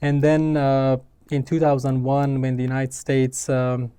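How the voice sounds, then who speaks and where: A young man from Afghanistan, raised in Pakistan, speaking as an interview guest in a measured way, with frequent fillers and restarts, in a small studio room. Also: medium pitch, monotone, mellow, clear, resonant tone. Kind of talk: conversational interview. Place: small dim studio room, seated in armchair.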